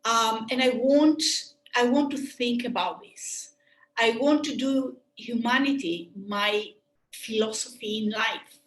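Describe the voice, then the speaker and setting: A woman with a Greek accent presenting a sports medicine webinar, earnest and thoughtful, pausing often with "um" and restarting her phrases. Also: a little high, echoey room, poor audio. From Greece.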